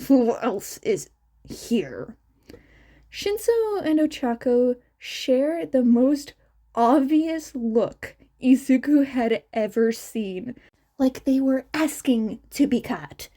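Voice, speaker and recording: Female audiobook-style narrator: soft-toned, expressive, lively pitch, unhurried fiction reading. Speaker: amateur audiobook reader.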